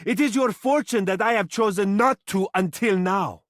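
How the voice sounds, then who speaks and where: An actor playing a vain, tender living mirror in a video game, speaking in dramatic, florid, formal and affectionate phrases. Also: male voice, high-pitched, normal speed, dry recording, clean studio sound, lightly acted. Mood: haughty, boastful. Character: vain, tender, theatrical living mirror, adult male suitor. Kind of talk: video game character voice acting.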